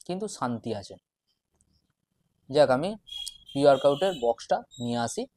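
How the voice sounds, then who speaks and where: A man in his twenties with a West Bengal Bengali accent, chatting casually to camera in short, halting phrases as a fitness vlogger. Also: fairly high pitch, a little mellow, no room sound. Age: 20s. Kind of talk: casual vlog chat to camera. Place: small bedroom at home, indoors. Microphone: wireless lapel mic.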